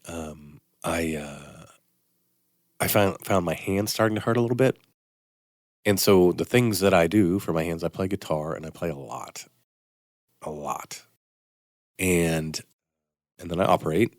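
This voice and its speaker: A man's voice: low voice, no room sound, studio quality. An older man, an orthopedic surgeon, hosting a Sunday morning radio health show, talking in a rambling, self-deprecating way with a slight sniffle. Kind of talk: casual radio health talk. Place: radio studio.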